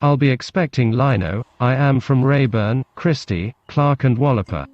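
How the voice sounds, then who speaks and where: A man's voice: mid-range voice, moderate pace, a little mellow, no echo, natural voice. A man voicing a pompous, anxious male errand-runner with a lofty, formal manner in a story. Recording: muffled lo-fi recording with background noise.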